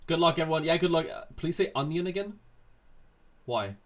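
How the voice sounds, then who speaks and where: A man's voice: on the high side, expressive, fairly quick, a bit bright. Casual man hosting a livestream. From Sweden. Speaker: livestream host answering chat questions. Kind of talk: casual livestream chat, reading and answering viewer questions.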